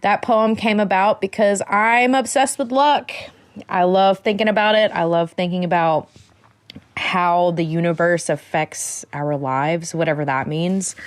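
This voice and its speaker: A woman's voice: on the low side, no room sound. A woman with an American accent introducing and reading her work as a poet. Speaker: poet and editor. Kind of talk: personal introduction to a poetry reading.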